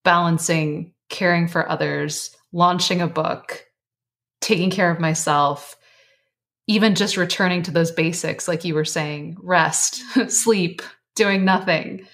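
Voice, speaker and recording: A woman author and life coach, earnest and reflective as a guest, her voice slightly hoarse. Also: on the low side, steady tone, takes it slowly, a bit bright. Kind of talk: casual interview chat.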